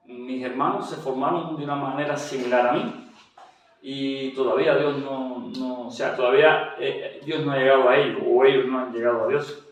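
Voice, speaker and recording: A man with a Cuban Spanish accent, heard from a little way off the mic in a very echoey room. He talks at a mid pitch, hesitating often and restarting his sentences as he shares his story. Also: far from the mic. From Cuba. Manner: hesitant, with frequent fillers and restarts. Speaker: interview guest, general doctor. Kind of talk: testimony interview.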